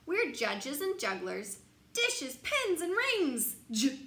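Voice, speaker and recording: A young woman reads a bedtime story for children with very animated, swooping intonation. She takes her time, stressing words like a teacher. The room is noticeably echoey, recorded from a little way off. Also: high voice, slow pace. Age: young adult. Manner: expressive, sing-song, teacherly with emphasized words. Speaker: picture book reader. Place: small glassed-in sunroom. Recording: roomy echo, light background noise.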